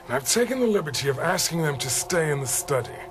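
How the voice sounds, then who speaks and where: A man's voice: on the high side, very animated, quick pace. A man playing a deferential, over-polite butler in a comic radio mystery drama. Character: obsequious, formal butler turned polite henchman. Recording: music behind the voice.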